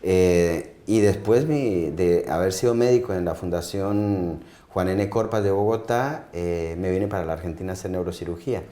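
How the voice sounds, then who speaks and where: Deep, mellow-voiced Colombian doctor. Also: male voice, moderate pace, few pauses, roomy sound. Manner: hesitant, repeats words while searching. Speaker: neurosurgeon guest. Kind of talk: interview answers.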